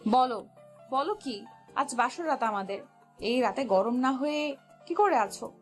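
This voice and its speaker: A young bride, acted by a woman in a romantic audio story, speaking in a high voice. She is teasing and impatient, scolding with playful exasperation over a soft music bed. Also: animated, measured pace.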